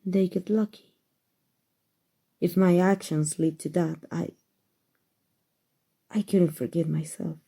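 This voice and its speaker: A woman playing a powerful, aristocratic vampire in an ASMR roleplay, with formal, measured, protective speech. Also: fairly low pitch, steady tone, creaky, dark tone, slightly rough, full character voice. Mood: worried, reassuring.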